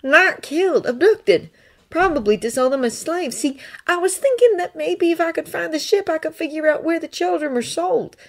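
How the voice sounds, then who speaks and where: A woman's voice: very high voice, moderate pace, pitched above the performer's own voice. A woman voicing an undercover male Jedi, earnest and weary, in a fan fiction audiobook.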